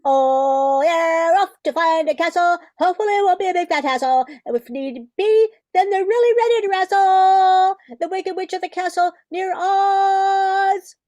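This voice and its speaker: A woman's voice: very high-pitched, a little slow, hardly any pauses, darkish tone, no room sound. A woman voicing a cheeky, grumbling little Munchkin in an amateur radio play. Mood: cheeky singsong. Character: cheeky, grumbling, overworked Munchkin who likes singing.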